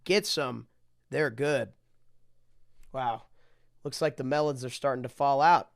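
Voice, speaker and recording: A person reading picture books aloud in a theatrical way, dropping into muttered deadpan asides. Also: mid-range voice, unhurried, vocal fry. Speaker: storybook reader.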